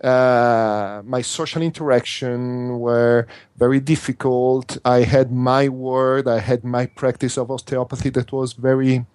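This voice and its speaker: An Italian-accented man, a native Italian speaker, speaks in an earnest, halting way, circling back and restarting his phrases. His voice sits at an ordinary middle pitch. The sound is dry and close, with no room echo. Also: flat delivery, darkish tone, smooth. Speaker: interview guest, workshop instructor. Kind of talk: earnest conversational interview.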